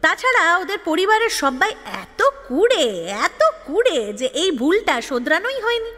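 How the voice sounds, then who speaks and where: A chatty young heroine of an audio story, playfully exasperated and animated. Her voice is very high and bright, set in long reverb over music. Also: measured pace, full character voice. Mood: chatty, wry. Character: exasperated, chatty young woman narrating her love life. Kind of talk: dramatized audio story narration.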